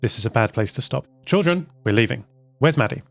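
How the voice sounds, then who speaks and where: A man's voice: mid-range voice, animated, brisk, creaky, dry recording, soft background music. A man voicing a commanding, anxious mother of a family that travels by train, urgent and bossy, in an audio drama. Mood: alarmed.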